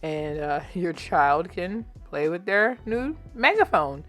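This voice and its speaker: A woman's voice: fairly low pitch, expressive, slow, a bit bright, soft background music. An American woman presenting a children's craft tutorial in a chatty, informal, encouraging way.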